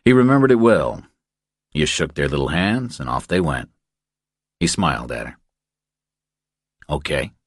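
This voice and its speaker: A man with an extremely low voice narrates a thriller audiobook. The sound is close and dry, as scripted storytelling. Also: sing-song, normal speed, pauses often, dry recording.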